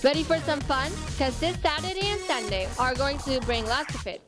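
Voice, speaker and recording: High-voiced teen girl interviewer. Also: moderate pace, over music. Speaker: student interviewer.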